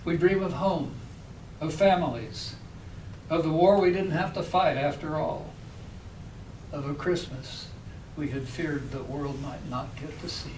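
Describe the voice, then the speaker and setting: A man's voice: fairly high pitch, a little slow, long pauses. A man who is a poet, reading his own work aloud at a live poetry reading. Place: public library reading room. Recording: echoey room, distant mic, faint noise.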